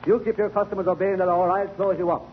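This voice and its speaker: A man's voice: high voice, level tone, quick pace, lightly acted. A man playing a blustering, bossy policeman who flusters easily, in 1940s radio comedy style. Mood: bullying. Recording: tinny, hissy old radio recording.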